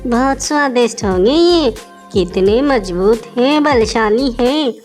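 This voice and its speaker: Woman voicing a high-pitched sly little she-wolf. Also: takes it slowly, faint music behind. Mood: scheming. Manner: wheedling, exaggerated flattery. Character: sly, flattering, scheming small female wolf.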